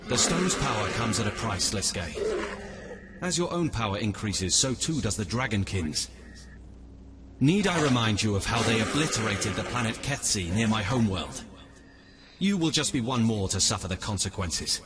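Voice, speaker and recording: Casting: male actor for pious bird god in a game, grave and righteous, fairly low register. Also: expressive, moderate pace, close to an everyday voice. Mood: grave warning. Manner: grave, admonishing, formal. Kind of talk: video game cutscene acting.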